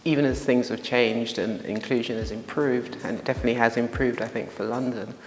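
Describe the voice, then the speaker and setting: A man's voice: mid-range voice, steady tone, fairly quick, a little hiss or hum. A man, a parent, speaking thoughtfully and with some hesitation in an interview. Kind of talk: interview testimony. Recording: echoey room with long reverb.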